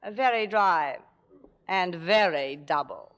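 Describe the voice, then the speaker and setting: A woman playing a jealous, sharp-tongued middle-aged stage actress, theatrical and sarcastic, in an old-time radio drama. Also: lowish voice, expressive, slow, faint music behind, full character voice. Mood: weary, bitter. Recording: vintage 1940s-50s radio broadcast.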